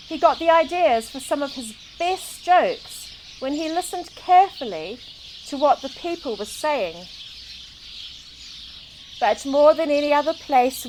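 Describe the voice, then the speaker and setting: Female storybook narrator, high-pitched and very animated, expressive read-aloud for children. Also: slight background noise. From New Zealand. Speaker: children's book reader. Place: outdoors in a garden. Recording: outdoor recording with clear birdsong. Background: birdsong.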